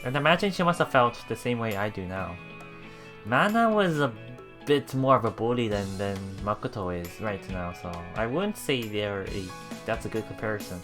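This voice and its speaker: A woman doing a visual novel let's play, reading the text aloud and adding playful, expressive casual commentary. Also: very deep voice, normal speed, faint music behind.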